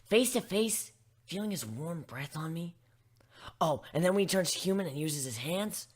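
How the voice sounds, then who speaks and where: A man voicing a besotted female griffin in a story reading, husky, dreamy and gushing. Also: on the high side, animated, normal speed, voice pushed up. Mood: dreamy, smitten. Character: besotted female griffin telling intimate stories.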